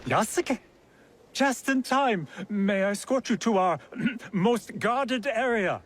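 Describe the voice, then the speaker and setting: An actor playing a theatrical young samurai ally in a video game, jaunty and mock-formal. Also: male voice, high voice, lively intonation, slow, full character voice. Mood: playful.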